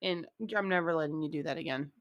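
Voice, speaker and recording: A woman's voice: low-pitched, steady tone, quick pace, dry recording, dull audio. A young woman chatting casually as a podcast co-host. She is playful and argumentative, laughs often and swears freely. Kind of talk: casual chat.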